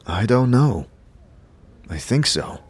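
A man playing a solemn, world-weary immortal wanderer with a deliberate, commanding way of speaking, over music in a fantasy audio drama. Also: mid-range voice, very animated, husky, heavily acted. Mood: haunted. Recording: music behind the voice.

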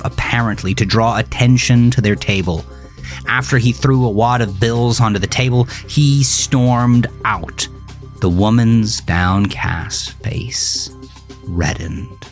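A man reading a scripted horror thriller story in a measured, deliberate way. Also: medium pitch. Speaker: narrator. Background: music.

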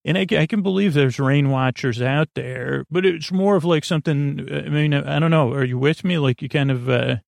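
A man with a creaky voice hosting a podcast, rambling in a halting, gently goofy bedtime monologue meant to help people drift off to sleep. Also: fairly high pitch, dry recording. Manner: meandering, halting, often trailing off and repeating words. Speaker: podcast host.

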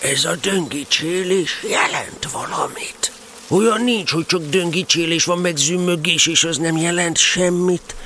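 Self-important little storybook bear, high voice, naive ponderous logic, bit of insect noise behind. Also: male voice, bright, forceful tone. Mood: earnest pondering. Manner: ponderous, pseudo-logical reasoning. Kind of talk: children's radio play acting.

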